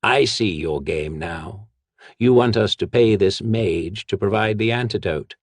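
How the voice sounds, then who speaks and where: A man's voice: fairly low pitch, measured pace, dry recording, studio quality. A man voicing a blustering, frightened old nobleman.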